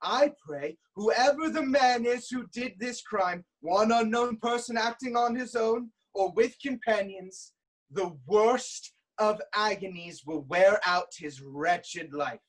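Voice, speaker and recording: A man playing a proud, commanding king of ancient Thebes in a staged reading of Greek tragedy, declaiming deliberately. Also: sits high, rough recording. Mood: stern, commanding.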